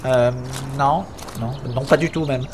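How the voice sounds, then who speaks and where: A man's voice: medium pitch, fairly even intonation, a little slow, lightly acted. Dim henchman, male actor: muddled, hesitant rambler, comic audio drama, faint noise behind. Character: slow-witted, muddled, earnest henchman.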